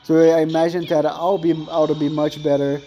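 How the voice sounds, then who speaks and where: A man's voice: fairly high pitch, flat delivery, moderate pace, warm tone, soft background music. A young man with a thin voice chatting casually and rambling while commentating on his gameplay, often correcting himself mid-sentence.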